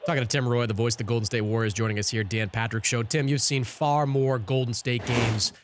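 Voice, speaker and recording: A man's voice: mid-range voice, steady tone, fairly quick, background noise. A man hosting a sports radio show, putting questions and comments to a guest in a conversational interview style.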